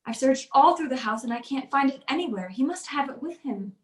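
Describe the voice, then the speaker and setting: A woman acting a high-voiced anxious wife, roomy sound. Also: animated, fairly quick. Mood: panicked. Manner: pleading, earnest. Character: anxious wealthy wife convinced her husband wants her dead. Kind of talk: old-time radio drama acting.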